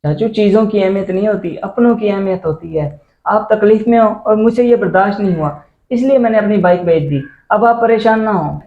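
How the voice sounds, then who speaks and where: A young man playing a caring, principled son and nephew in an amateur moral drama, earnest and reasoning. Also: sits high. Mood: reassuring. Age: young adult. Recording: echoey room, dull, faint noise.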